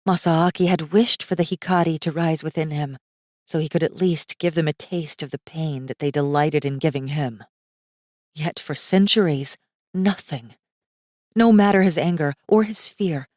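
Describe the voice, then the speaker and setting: A woman's voice: on the low side, darkish tone, no echo. A woman reading scripted fantasy fiction as a dramatic audiobook narrator. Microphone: close studio microphone. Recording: dry studio recording.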